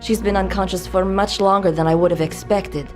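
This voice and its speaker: A woman's voice: mid-range voice, steady tone, brisk, music in the background, lightly acted. A woman voicing a cold, clinical female scientist in a game cutscene, speaking with precise detachment. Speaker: scientist doctor.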